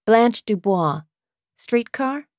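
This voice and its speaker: A woman's voice: a little high, expressive, extremely slow, a little mellow, no echo. A woman voicing a guarded, wry female playwright in an audiobook, with a curt, dry manner.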